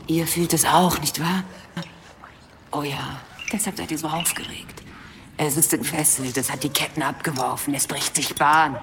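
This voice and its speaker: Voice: mid-range voice, close to an everyday voice. An actor in a radio drama playing a menacing seer, speaking ominously and dropping into whispers. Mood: excited foreboding. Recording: noisy background ambience.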